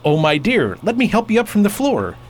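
School superintendent male actor, radio drama: highish, animated, genial, patronising; faint noise. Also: brisk, heavily acted. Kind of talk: radio play acting.

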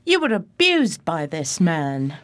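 Woman acting an earnest, very expressive detective's assistant. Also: lowish voice, slow, no room sound, full character voice. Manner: expressive, formal storytelling. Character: earnest, easily astonished female detective's assistant and narrator. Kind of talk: period radio drama narration and dialogue.

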